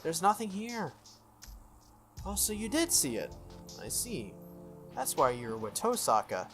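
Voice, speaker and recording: A man's voice: on the high side, big pitch swings, unhurried, a bit bright, soft background music, pitched above the performer's own voice. Man doing a smug, swooping teenage schoolboy schemer. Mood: sly.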